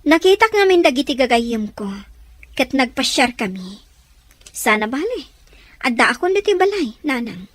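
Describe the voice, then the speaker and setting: A woman's voice: sits high, big pitch swings, normal speed, long pauses, fairly bright tone, dry recording, exaggerated voice. A woman with a Filipino accent playing a secretive, dutiful daughter in a radio drama. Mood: apologetic.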